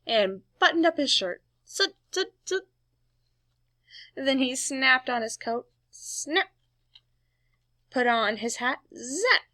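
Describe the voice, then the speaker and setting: A woman reading a storybook aloud to young children in an expressive, playful way, with emphatic sound words. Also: high voice, takes it slowly, long pauses, dry recording. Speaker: storybook reader, teacher.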